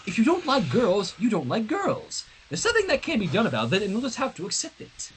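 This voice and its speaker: A man's voice: high voice, wildly swooping pitch, fairly quick, fairly bright tone, slight background noise, heavily acted. A man voicing a refined teenage girl in a story, soothing yet frank and matter-of-fact. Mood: consoling, frank.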